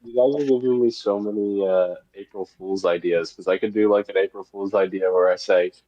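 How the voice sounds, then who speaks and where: Muffled, low-voiced man in casual interview. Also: steady tone, brisk, mellow. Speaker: guest, creator of an analog horror web series.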